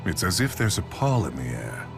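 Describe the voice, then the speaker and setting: An actor playing a stoic, proud exiled king in a video game, speaking in a formal, measured, deadpan manner. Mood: grave, dry. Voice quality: deep. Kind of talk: scripted game dialogue. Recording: game music behind the voice.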